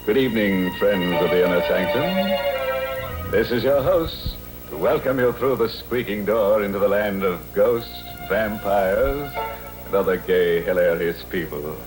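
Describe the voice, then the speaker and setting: An actor playing a mocking, sinister male host of a 1940s horror radio show, with slow, gloating, drawn-out delivery, heard through an old radio set. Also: fairly low pitch, animated, music in the background, low-quality recording. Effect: old radio broadcast heard through a vintage set.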